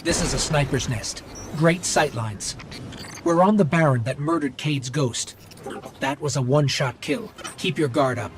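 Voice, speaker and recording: An actor playing a small, loyal robot companion in a video game, earnest, watchful and explanatory. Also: male voice, on the high side, a little slow, very noisy, natural voice. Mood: earnest caution. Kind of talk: video game character acting.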